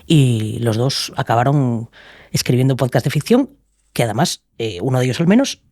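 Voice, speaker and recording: A man's voice: mid-range voice, lively intonation, normal speed, darkish tone, no room sound, clean studio sound. A man with an accent from Spain co-hosting a podcast in an informal, chatty presenting style. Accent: Spanish from Spain. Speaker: podcast co-host.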